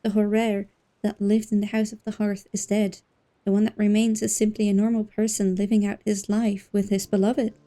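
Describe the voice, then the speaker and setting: A woman livestreamer giving casual, informal commentary on a game, musing out loud. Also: medium pitch, little pitch movement, normal speed. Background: game music.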